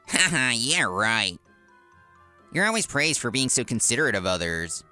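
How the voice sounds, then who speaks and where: A man's voice: medium pitch, very animated, unhurried, pushed and bright, soft background music, heavily acted. A man voicing a cocky, spiteful office worker in a comic dub, with a sneering, taunting manner. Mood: mocking. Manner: sneering, taunting, stammers when cornered.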